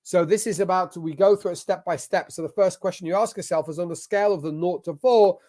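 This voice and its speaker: An English-accented man talks through a screen-shared masterclass, sounding motivational and emphatic. His voice sits on the higher side for a man, and he drives his points home like a business coach rallying his audience. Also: brisk, mellow. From England. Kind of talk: online masterclass presentation. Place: at a computer sharing his screen.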